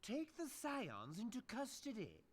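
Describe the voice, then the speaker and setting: A man's voice: very high voice, huge pitch swings, breathy edge, pitched above the performer's own voice, exaggerated voice. A man voicing a small, scheming male merchant lord who gives orders haughtily. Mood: commanding. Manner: slow, haughty commanding.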